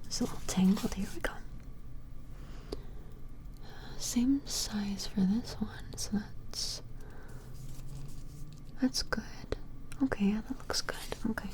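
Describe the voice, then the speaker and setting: A woman voicing a flustered, smitten vampire in an ASMR roleplay, whispering hesitantly and apologetically in a breathy voice. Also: on the high side, close to an everyday voice. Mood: gentle, focused. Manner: hesitant, apologetic, halting, softly attentive. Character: flustered, smitten female vampire measuring a strange creature. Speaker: ASMR performer.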